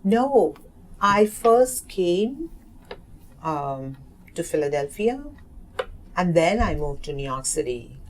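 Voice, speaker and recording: A woman's voice: on the low side, sing-song, slow, pauses often, rough recording. A woman in her thirties from South Asia, a native Hindi speaker, giving hesitant interview answers with many fillers and restarts. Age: 30s. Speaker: oral history narrator. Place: community center room.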